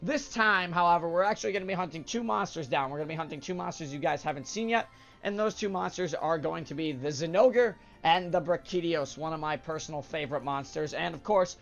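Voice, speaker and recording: A young man with a hoarse, sore-throated voice, casually commenting on a game he plays, with filler sounds. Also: fairly high pitch, a bit bright, lo-fi sound. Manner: casual, upbeat explanatory, frequent "uh" fillers. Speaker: gaming channel host. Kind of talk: let's play commentary. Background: game music.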